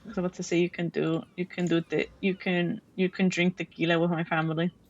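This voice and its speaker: A woman with a Mexican accent talks to her stream chat while she plays, answering viewers and tripping over her own sentence starts. Her pitch stays fairly level and flat. The audio is dull and lo-fi. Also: fast. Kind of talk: casual gaming stream chat.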